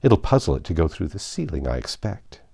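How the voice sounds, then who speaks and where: A man reading aloud, voicing a polite, earnest seven-year-old girl in a gentle, lifted tone. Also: low-pitched, big pitch swings, fairly quick, gravelly, breathy edge, no room sound, clean studio sound, heavily acted. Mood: curious.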